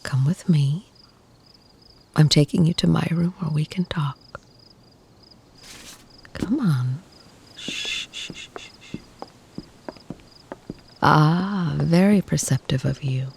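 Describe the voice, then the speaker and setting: An actress plays a protective vampire woman in an intimate ASMR roleplay. Her voice is soft and often whispered, with a gravelly fry underneath. She speaks very slowly and formally, like one used to command, over a faint bed of noise. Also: low voice, full character voice. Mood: concerned, tender. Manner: slow, soothing, doting, formal wording.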